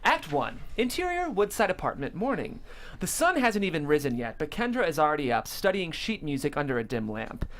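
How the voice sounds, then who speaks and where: Animated male narrator reading a script. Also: on the high side, fairly quick. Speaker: stage directions narrator. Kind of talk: scripted narration at comedy table read.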